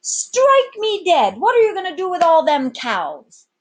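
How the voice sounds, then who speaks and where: Woman doing rough, high-pitched pirate sailor. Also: wildly swooping pitch, a little slow, heavily acted. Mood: amazed. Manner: exclamatory, folksy sailor talk. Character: rough, uncouth male pirate crewmen, old sea dogs.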